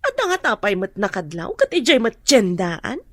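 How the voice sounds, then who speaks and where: An actress playing an anxious, sharp-tongued woman searching for a missing girl, agitated and scolding in a radio drama. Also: mid-range voice, sing-song, normal speed, no room sound.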